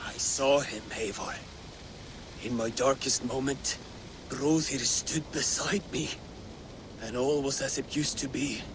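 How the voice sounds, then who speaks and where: A man's voice: medium pitch, steady tone, takes it slowly, close to an everyday voice. A man playing a fierce, battle-worn Viking warrior haunted by loss in a video game, speaking with intensity. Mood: haunted, brooding. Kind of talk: dramatic video game acting. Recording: battle and ambient noise behind.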